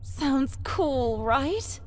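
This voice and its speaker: A woman playing an eccentric, excitable, boastful young treasure hunter in a video game, chatty and theatrical with dramatic emphasis. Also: sits high, drawn-out words, a little hiss or hum, exaggerated voice. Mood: triumphant, eager. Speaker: game companion character.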